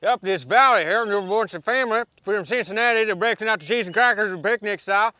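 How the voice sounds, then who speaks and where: A man playing an excitable, folksy hunter, rambling wildly in a comedy sketch. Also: sits high, no room sound. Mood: manic warning. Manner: rambling, fast-talking, colloquial.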